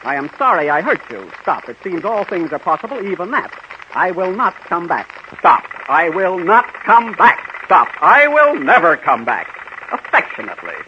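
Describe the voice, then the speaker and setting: A sardonic writer with a high voice that swings freely in pitch. He is defiant and drily mocking in old radio-comedy style, heard through a noisy vintage recording. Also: sing-song, moderate pace, pushed and bright, muffled recording, exaggerated voice. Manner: dry, sarcastic telegram delivery. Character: sardonic, defiant playwright, adult man, mocking. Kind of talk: 1930s radio comedy acting.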